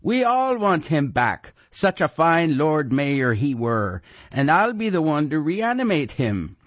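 A man voicing a gruff, ruthless old male wizard who is a talking severed head, bellowing in archaic rustic speech, on a dull lo-fi recording. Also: a little high, very animated, slow, dry recording, voice pushed up. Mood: gruff commanding.

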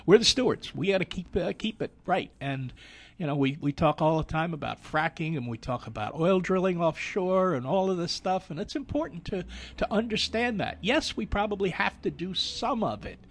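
A man's voice: high voice, big pitch swings, normal speed. Musing man in a radio chat. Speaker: radio talk show participant. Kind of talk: casual radio discussion.

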